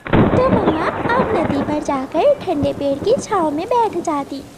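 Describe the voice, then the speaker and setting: A woman's voice: extremely high pitch, lively intonation, unhurried. A woman voicing a curious, eager young daughter bird in a children's cartoon. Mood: curious, concerned. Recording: faint background noise.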